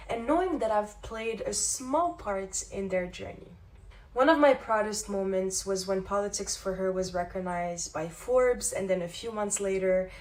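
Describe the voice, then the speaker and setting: A woman speaks with determined, impassioned conviction about her advocacy work. Her voice has a middle pitch for a woman. It sounds a little distant from the microphone. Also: a little mellow. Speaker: feminist advocate. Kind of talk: personal testimonial statement.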